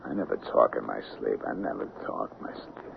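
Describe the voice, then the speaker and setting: An actor playing an anxious, guilt-ridden husband in an old-time radio drama, halting and muttering, on a tinny recording. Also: male voice, extremely low pitch, sing-song, gravelly, a little hiss or hum, heavily acted. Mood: anxious, fixated. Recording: tinny old radio broadcast, music behind.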